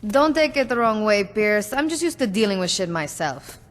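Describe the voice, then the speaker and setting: A woman's voice: medium pitch, big pitch swings, normal speed, a little hiss or hum, lightly acted. A woman acting a tough, foul-mouthed gang boss in a video game, blunt, sardonic and commanding. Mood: blunt, irritated.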